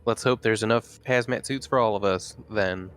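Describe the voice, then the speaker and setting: A man's voice: fairly low pitch, normal speed, fairly bright tone, clear, resonant tone. A man playing a tabletop roleplaying game, thinking aloud in a casual, hesitant way. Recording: faint background noise.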